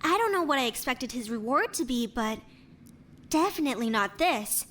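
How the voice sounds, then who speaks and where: A woman playing a casual, gently curious young investigator in an animated murder mystery. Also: high voice, normal speed, a little hiss or hum. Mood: thoughtful, careful.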